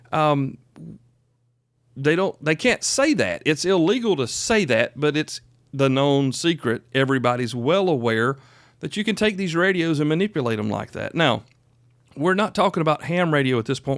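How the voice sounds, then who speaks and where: A man's voice: fairly high pitch, dry recording. A man in his fifties with a Southern US accent, talking informally and plainly as a podcast host, folksy and emphatic. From South Carolina, United States. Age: 50s. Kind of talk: informal solo podcast talk.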